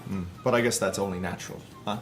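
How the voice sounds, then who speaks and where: A man's voice: deep voice, steady tone, fast talker, music in the background, close to an everyday voice. An actor playing an apologetic male store manager who sounds resigned. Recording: roomy and from a distance.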